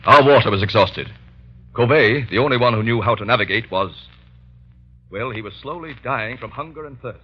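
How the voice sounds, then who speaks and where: An actor playing an earnest, authoritative doctor, a man who speaks gravely and urgently in an old-time radio drama. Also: medium pitch, brisk, lightly acted. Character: earnest, authoritative male doctor, an escaped ex-convict. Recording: dull vintage radio recording.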